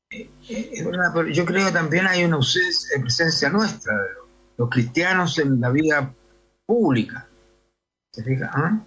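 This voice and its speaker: Husky older Chilean man, discursive. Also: medium pitch, fairly bright tone, low-quality recording. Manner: discursive, often seeks agreement with tag questions. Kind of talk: conversational interview answers.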